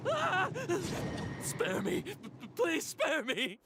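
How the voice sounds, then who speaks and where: A man's voice: very high voice, wildly swooping pitch, extremely slow, background noise, exaggerated voice. A man voicing a cowardly, panicky traveler in a video game, stammering and frantic. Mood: terrified. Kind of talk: scripted video game dialogue.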